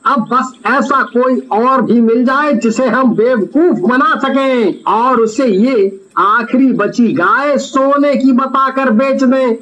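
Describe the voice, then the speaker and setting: Man putting on a sly, very high-voiced swindler. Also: a bit bright, dull audio, full character voice. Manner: scheming, self-satisfied. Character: sly swindler man scheming to fool buyers. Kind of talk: moral story voice acting.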